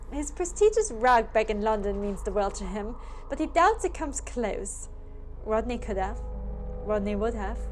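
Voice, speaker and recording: A woman with a fairly high voice narrates a scripted story. Her delivery is theatrical and full of suspense, set over background music.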